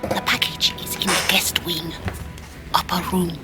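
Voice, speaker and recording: Lowish, curt servant woman, acted over music. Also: little pitch movement, unhurried, lightly acted. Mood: secretive. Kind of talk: video game voice acting.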